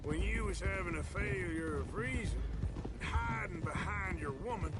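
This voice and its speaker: An actor playing a weary, commanding American outlaw gunslinger in a video game, with a gruff, dry drawl. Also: male voice, high-pitched, unhurried, very noisy. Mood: sardonic, reproachful. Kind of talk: video game drama acting. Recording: loud music and horse hooves behind.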